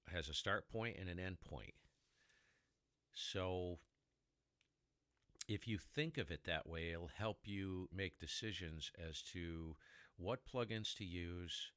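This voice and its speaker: A man with a very low baritone voice hosting a casual ham radio tutorial, rambling and sidetracking as he explains, recorded in a heavily dampened room. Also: pushed and bright, no echo, clean studio sound. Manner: rambling, self-interrupting explainer with mic tests. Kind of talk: casual how-to demonstration.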